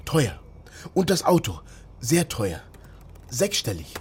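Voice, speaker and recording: A man's voice: medium pitch, wildly swooping pitch, slow pace, darkish tone, husky, full character voice. An actor playing a wary young man living illegally, in naturalistic radio drama dialogue and inner monologue. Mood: focused. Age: young adult.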